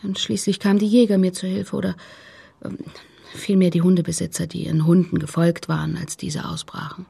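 A grieving middle-aged mother in a radio drama. Her voice is extremely husky and creaky, often close to a whisper, and she speaks guardedly and haltingly. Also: fairly low pitch, level tone, mellow, full character voice. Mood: subdued, halting. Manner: halting, hesitant. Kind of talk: radio play acting.

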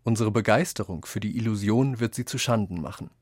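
A man reads literary quotations for a radio essay, with deliberate, declamatory phrasing. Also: on the low side, husky, no room sound, studio quality. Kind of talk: scripted literary reading.

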